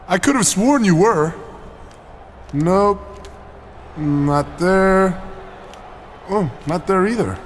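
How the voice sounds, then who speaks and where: A man's voice: high voice, a bit bright, a little hiss or hum, full character voice. A man voicing a cocky, vain muscleman wrestler in a video game cut scene, boastful, smug and showy. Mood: feigned innocence. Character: cocky vain male muscleman wrestler, self-styled masterpiece.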